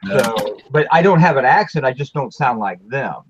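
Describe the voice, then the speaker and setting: A man's voice: medium pitch, expressive, moderate pace, a little hiss or hum. A man chatting casually on a group show, rambling and restarting his sentences in a plain-spoken way. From Midwest. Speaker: show host. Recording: dull lo-fi sound.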